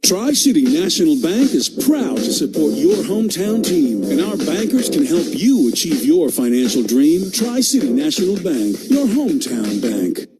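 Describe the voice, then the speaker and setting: A man reads a scripted radio advertisement in a fairly high, lively voice. Loud music runs underneath. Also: normal speed. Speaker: advertisement announcer. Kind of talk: scripted bank ad read.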